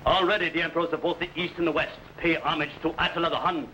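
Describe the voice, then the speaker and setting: An actor playing a ruthless, boastful barbarian warlord in a 1950s TV serial, speaking with grand, menacing pauses. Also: male voice, on the high side, steady tone, noisy background, natural voice.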